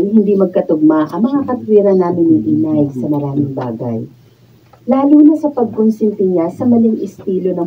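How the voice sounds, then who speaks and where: A woman's voice: fairly low pitch, lightly acted. An actress playing a sensible, earnest young woman engaged to marry, in a Filipino radio drama. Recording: distant, phone-like, slightly noisy studio capture.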